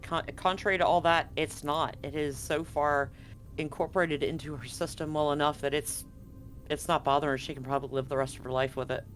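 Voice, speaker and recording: A man narrating a live tabletop game as game master, conversational and often halting to correct himself. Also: on the high side, fairly even intonation, a bit bright. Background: music.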